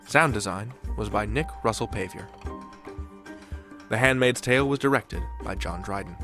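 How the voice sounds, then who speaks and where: A man hosting a podcast, reading a scripted outro and credits in a measured, theatrical announcer style. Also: on the low side, sharp tone, soft background music. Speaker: podcast host.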